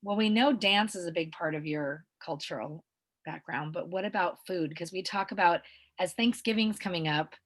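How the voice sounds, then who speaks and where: A woman's voice: lowish voice, moderate pace. A woman interviewing someone casually, in an informal, enthusiastic way.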